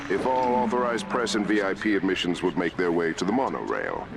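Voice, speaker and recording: A man playing a smug, ruthless corporate tycoon villain in a video game cutscene, imperious and deliberate. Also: low-pitched, expressive, vocal fry, noisy background. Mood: grand, public. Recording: voice under loud music.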